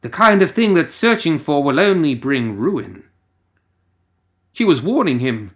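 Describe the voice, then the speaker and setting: A man reading a scripted story as narrator, in a theatrical, ominous manner with lingering pauses. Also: medium pitch.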